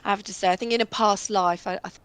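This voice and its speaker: Chatty woman, husky tone, loose and rambling, casual Q&A co-host. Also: medium pitch, fairly even intonation, fast, no echo. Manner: chatty, rambling, laughs often. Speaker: voice actress and co-host. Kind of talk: casual chat and Q&A.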